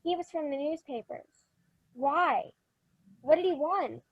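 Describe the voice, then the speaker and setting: A woman's voice: high voice, unhurried, highs cut off. A woman playing an anxious, stubborn and inquisitive little girl in an old-time radio Christmas drama. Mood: suspicious, worried.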